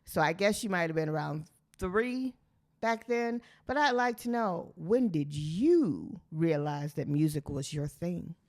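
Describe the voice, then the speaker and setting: Mother-and-manager guest with a lowish female voice, warm and motherly. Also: lively intonation, a little slow. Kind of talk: casual interview chat.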